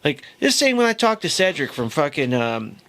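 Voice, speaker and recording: A man with a fairly high speaking voice, chatting loosely about music. He rambles, starts over mid-sentence and swears casually. He sounds like a podcast host talking with a friend. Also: sing-song, normal speed, a bit bright. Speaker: podcast host and band member.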